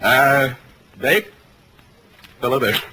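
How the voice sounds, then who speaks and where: Wry hard-boiled radio detective. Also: male voice, medium pitch, slow pace, bright, forceful tone, husky, full character voice, low-quality recording. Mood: dry dictation. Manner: wry, offhand, teasing. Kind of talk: 1940s radio drama acting. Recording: vintage radio recording.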